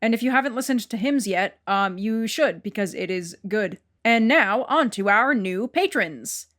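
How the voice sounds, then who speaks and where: A woman's voice: mid-range voice, expressive, normal speed, fairly bright tone. A woman hosting a podcast, reading scripted announcements to listeners. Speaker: podcast host and producer.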